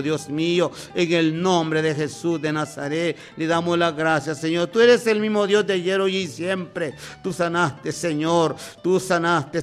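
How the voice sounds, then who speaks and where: Man, fervent prayer leader, high voice, faint music under him. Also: fairly bright tone, smooth. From former drug and alcohol user. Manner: repeats "Señor" and "aleluya" in an impassioned, pleading rhythm. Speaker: preacher leading prayer.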